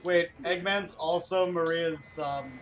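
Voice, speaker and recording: A man's voice: a little high, fairly even intonation, takes it slowly. A man hosting a gaming video, chatting casually in a sweary, joking way while he plays. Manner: sweary, jokey. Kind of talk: casual chat while playing a game.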